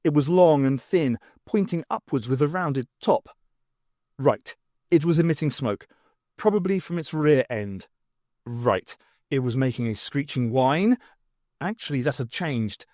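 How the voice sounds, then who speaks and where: A man's voice: medium pitch, lively intonation, measured pace, darkish tone, no echo. Dry, close-sounding male audiobook narrator. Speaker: audiobook reader. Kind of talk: scripted dramatic narration of a science fiction novel.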